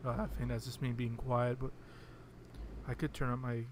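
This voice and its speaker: Casual male streamer, soft and hesitant, lots of "uh", mid pitch, home desk mic. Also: level tone, moderate pace, background noise. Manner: soft-spoken, hesitant, many fillers. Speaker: game streamer. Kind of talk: casual live-stream chat while playing. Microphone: desk microphone on a computer.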